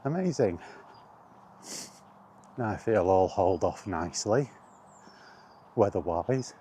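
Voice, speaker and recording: A man with a low, mellow voice rambles casually while out on a walk. He thinks aloud in short, unhurried phrases as he hosts his vlog, with some outdoor noise around him. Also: expressive. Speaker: host of a walk-and-talk retro gaming vlog.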